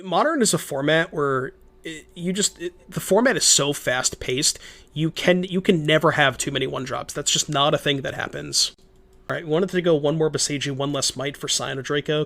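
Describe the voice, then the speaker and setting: Stuffy-nosed man, gravelly voice. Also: on the high side, a bit bright. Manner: chatty, thinks aloud, reads chat, many restarts. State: stuffed up, voice a little shot. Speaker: streamer playing a card game. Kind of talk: casual gameplay commentary.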